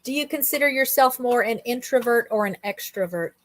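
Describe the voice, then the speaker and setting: A woman hosting a casual interview, speaking conversationally and reflectively, pausing in mid-thought. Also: a little high, dry recording. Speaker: host, interviewer.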